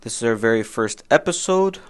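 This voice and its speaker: A man's voice: medium pitch, animated, brisk, clean tone. Friendly young man co-hosting a podcast. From Canada. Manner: friendly, repeats words and restarts often. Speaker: podcast co-host. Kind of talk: casual podcast chat.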